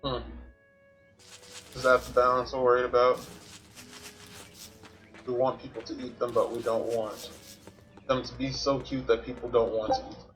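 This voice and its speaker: A man's voice: mid-range voice, flat delivery, normal speed, pauses often, a bit bright, faint music behind, poor audio. A man doing casual gameplay commentary as a streamer, thinking aloud and explaining things to himself. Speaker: video game streamer.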